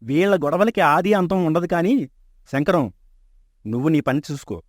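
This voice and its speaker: An actor voicing a rich, kindly older merchant and father in an animated story, speaking in a calm, assured, fatherly way. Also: on the high side, moderate pace, no room sound. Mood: teasing. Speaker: shop owner. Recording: dry close studio mic.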